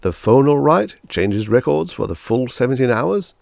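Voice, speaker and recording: Guy doing a big cheery finance salesman in an audiobook, loud bouncy pitch, brisk smooth patter, dry sound. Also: very animated, dry recording. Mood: brisk, inquisitive. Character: tall, imposing, jovial salesman-like male finance agent. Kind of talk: audiobook character dialogue.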